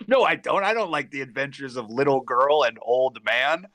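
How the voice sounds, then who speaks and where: A man's voice: medium pitch, lively intonation, moderate pace, a bit bright, no room sound. A man bantering casually on a podcast panel, repeating himself for emphasis and acting out imagined quotes as he goes.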